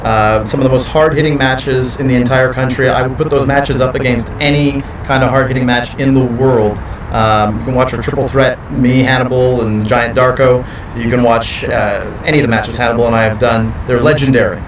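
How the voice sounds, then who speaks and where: A man's voice: mid-range voice, steady tone, brisk, noisy background. Boastful man, distant mic. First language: not French. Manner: confident, boastful. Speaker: professional wrestler. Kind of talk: interview answers.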